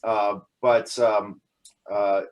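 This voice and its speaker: A man's voice: lowish voice, little pitch movement, extremely slow. Hesitant man in his forties. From Canada. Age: 40s. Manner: hesitant, many fillers and restarts; laughs often. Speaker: guest. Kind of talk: casual interview talk.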